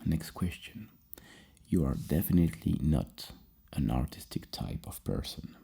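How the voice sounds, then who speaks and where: A very low, heavily creaky voice speaks softly as an ASMR therapist reading out questions. The tone is extremely dark and husky, and the delivery is halting and thoughtful, with repeated words. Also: expressive. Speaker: ASMR roleplay host as psychologist. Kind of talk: soft-spoken ASMR questionnaire roleplay.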